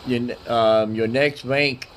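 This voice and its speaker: A man's voice: medium pitch, fairly even intonation, slow pace. A man giving casual, chatty let's play commentary, thinking aloud as he goes. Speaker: gaming channel host. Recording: clear background noise.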